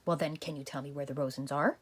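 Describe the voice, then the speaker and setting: A woman voicing a menacing male Nazi officer in a children's puppet show, husky, curt and accusing. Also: sits low, steady tone, quick pace, pitched below the performer's own voice. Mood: suspicious, demanding. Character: menacing, interrogating Nazi officer, adult man.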